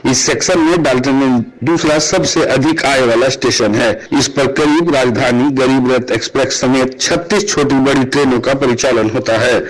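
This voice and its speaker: A man's voice: medium pitch, fairly even intonation, normal speed, hardly any pauses, poor audio. A man with an Indian accent reads a scripted news report as a radio correspondent.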